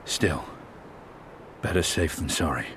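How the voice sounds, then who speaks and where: An actor voicing a grim, sardonic lancer warrior in a video game, with a terse, dry, measured delivery. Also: male voice, rumbling low, noisy background. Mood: dry menace. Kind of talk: dramatic video game voice acting.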